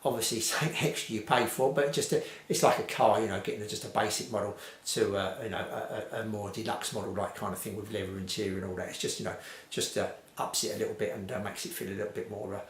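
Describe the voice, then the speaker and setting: A man's voice: on the low side, steady tone, fast talker, slight background noise. A man giving an informal, unscripted hi-fi review in a rambling, repetitive way with lots of hedging. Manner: rambling, hedged, repetitive phrasing. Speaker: vintage hi-fi reviewer. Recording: roomy, echoey home recording.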